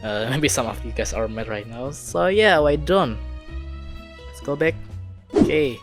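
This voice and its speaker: A man reacts casually to game footage in a middling pitch. His delivery is slow and halting, with non-native-sounding phrasing and faint music behind him. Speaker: video editor reacting to game trailers.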